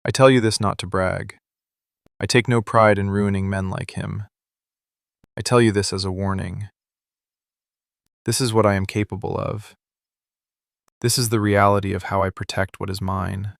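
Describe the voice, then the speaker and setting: A man acting a possessive, menacing mafia boss in love, speaking slowly, deliberately and intimately in an ASMR roleplay. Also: fairly low pitch, fairly even intonation, vocal fry, no room sound, clean studio sound. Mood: cold, possessive. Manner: slow, deliberate, intense, hushed confiding delivery. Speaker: ASMR voice actor. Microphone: close studio microphone, dry.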